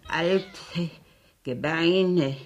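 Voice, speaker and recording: An actress playing an eerie spirit medium in a trance, halting and repeating words, in a radio crime drama. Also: female voice, lowish voice. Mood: entranced, eerie. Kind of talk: 1970s German radio crime drama acting.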